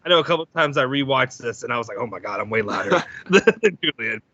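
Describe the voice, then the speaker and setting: A man hosting a casual sports podcast, loud-voiced and rambling, with lots of fillers and restarts. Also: medium pitch, expressive, fast, highs cut off, crowd noise. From United States. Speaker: podcast host.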